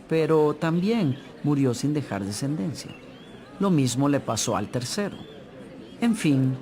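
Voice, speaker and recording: A man's voice: medium pitch, a little mellow, close to an everyday voice. A man acting a smug Sadducee in a dramatized audio Bible, arguing theatrically as he sets out a riddle.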